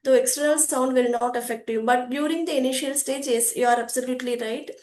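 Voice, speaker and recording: A woman with a high voice talks calmly and earnestly as a guest in a casual conversation. She laughs often between thoughts. Her voice rings in a roomy, echoing space on a video call. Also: fairly quick, a little hiss or hum. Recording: echoey room.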